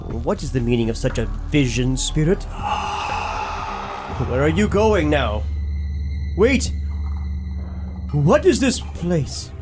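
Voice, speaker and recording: An actor playing an anguished, pleading old miser in an audio drama, speaking haltingly and dramatically. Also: male voice, fairly high pitch, animated, over music. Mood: uneasy. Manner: halting, dramatic, repeats words.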